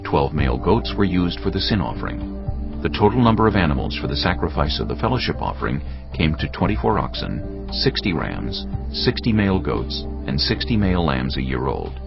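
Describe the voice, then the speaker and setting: A man narrating a dramatised scripture audiobook solemnly and deliberately, phrase by phrase. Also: a bass voice, very animated, vocal fry, muffled recording. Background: music.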